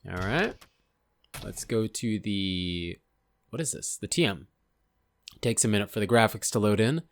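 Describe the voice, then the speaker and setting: A man casually talking over game footage, rambling and unsure of himself, a little hoarse from losing his voice. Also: lowish voice, lively intonation, a little slow, a little hiss or hum. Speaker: gaming channel host. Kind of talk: casual gameplay commentary.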